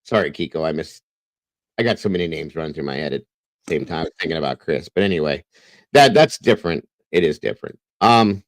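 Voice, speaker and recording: A man's voice: a bass voice, expressive, brisk, no room sound. A man hosting a true crime livestream, talking casually and rambling, breaking off now and then to address his viewers. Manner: rambling, conversational, breaks off to greet chatters.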